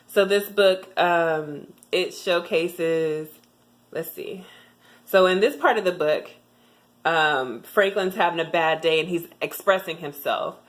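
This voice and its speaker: A woman's voice: lowish voice, slow pace. A young adult woman giving a casual tutorial vlog, chatty with frequent ums and restarts. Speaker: vlogger sharing language tips. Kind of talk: casual tutorial talk to camera.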